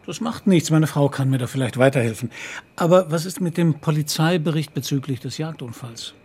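An actor playing a courteous, inquisitive police commissario in a naturalistic radio drama. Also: male voice, fairly high pitch, brisk, a little mellow, husky.

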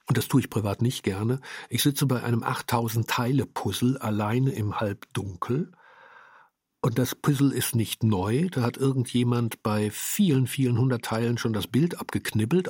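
Husky guy reflecting on his work, deliberate, pointed, dry studio-like sound. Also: mid-range voice, a little mellow, dry recording. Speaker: radio director. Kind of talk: reflective interview statement.

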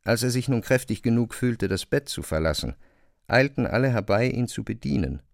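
A man's voice: medium pitch, expressive, normal speed, vocal fry, no room sound. A man narrating a literary novel as a scripted reading, close to a studio microphone. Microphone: close, dry studio microphone.

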